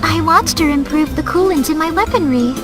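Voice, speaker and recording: A woman acts out a polite girl from an anime mech game, very high-pitched and a little hesitant. Her manner is mild, and music plays clearly behind her. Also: brisk, lightly acted. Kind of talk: anime-style visual novel voice acting.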